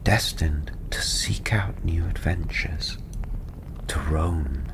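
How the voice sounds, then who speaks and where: A man softly narrating a fantasy story in ASMR style, breathy and often half-whispered, soothing and unhurried. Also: rumbling low. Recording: steady ambient background noise.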